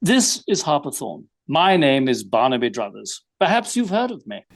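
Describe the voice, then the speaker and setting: A man playing a vain gentleman detective, speaking in a pompous, formal, drily witty way. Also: medium pitch, very animated, moderate pace, creaky, a little hiss or hum. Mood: pompous, dry.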